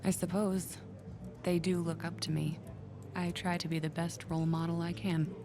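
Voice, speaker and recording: A woman's voice: fairly low pitch, level tone, moderate pace, close to an everyday voice. An actress voicing a guarded, defensive middle-aged corporate businesswoman in a video game, controlled and resentful under pressure. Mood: guarded, awkward. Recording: game audio with music underneath. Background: prominent music.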